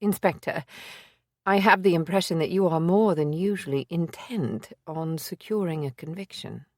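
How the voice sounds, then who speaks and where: A woman voicing a determined woman private investigator, speaking in a measured, formal, resolute way. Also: lowish voice, no echo, clean studio sound, lightly acted. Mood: composed.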